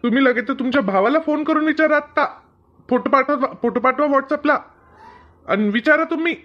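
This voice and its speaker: A man's voice: very high voice, brisk. A man voicing a persistent, wheedling young bag seller in a comedy cartoon, persuasive and protesting, on a lo-fi recording. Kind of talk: Marathi comedy cartoon dub.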